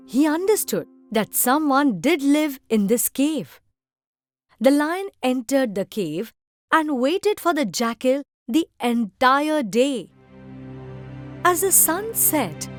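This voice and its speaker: A woman narrates fairytales for children in a high voice. Her delivery is slow and simple, with very animated rises and falls. It is a scripted storytelling read. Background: background music.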